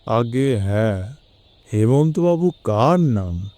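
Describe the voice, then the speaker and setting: A wary middle-aged gentleman, acted by a man, talks slowly in a very dark, soft timbre. He sounds suspicious and hesitant, a frightened figure in a mystery radio play, recorded close and dry. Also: medium pitch, animated, no room sound. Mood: cautious. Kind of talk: Bengali audio drama acting.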